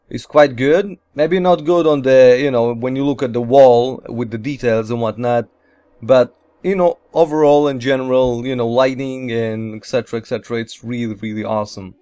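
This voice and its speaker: A man's voice: medium pitch, darkish tone, clean tone. Man rambling through casual game commentary. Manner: rambling, repeats filler phrases like "whatnot" and "et cetera". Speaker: host of a gaming Let's Play series.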